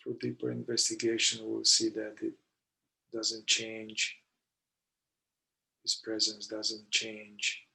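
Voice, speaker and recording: A man leading a guided meditation in short, deliberate phrases with long pauses between them. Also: on the low side, monotone, creaky, sharp tone, low-quality recording. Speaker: meditation guide, somatic therapist.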